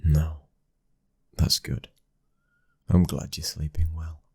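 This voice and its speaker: A British man playing a protective, devoted young mafia heir and lover in an intimate ASMR roleplay, speaking softly and tenderly, often near a whisper. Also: a bass voice, completely flat delivery, takes it slowly, gravelly, slightly rough, no room sound, studio quality, full character voice. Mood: affectionate, relaxed.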